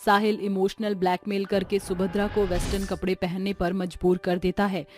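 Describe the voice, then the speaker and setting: A woman narrates a scripted family story. Her voice sits at a medium pitch, and music plays softly behind her. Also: little pitch movement, normal speed.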